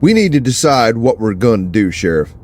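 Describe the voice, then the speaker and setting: An actor playing a blunt, impatient, dismissive sheriff's deputy in an audio drama, with a sardonic manner. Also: male voice, mid-range voice, big pitch swings, a little fast, darkish tone. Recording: faint vehicle ambience noise.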